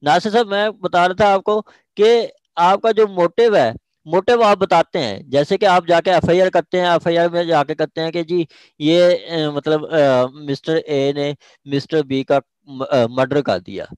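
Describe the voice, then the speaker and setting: A man's voice: a little high, a little slow, dry recording. A man with a Pakistani accent teaching an interactive online law class, often checking that students follow. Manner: checks understanding with repeated short questions.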